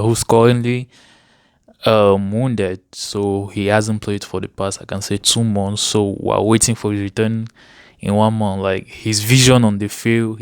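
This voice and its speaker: A man's voice: lowish voice, clear, resonant tone, dry recording, studio quality. A man, chatting casually about sport as a guest in an interview. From Cameroon.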